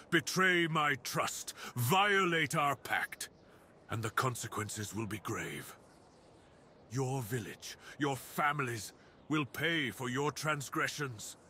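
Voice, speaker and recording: A man's voice: mid-range voice, sharp tone, slight background noise. A man voicing a proud, menacing dire wolf beast in an ASMR roleplay, speaking with grave, commanding, theatrical deliberation. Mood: threatening, commanding.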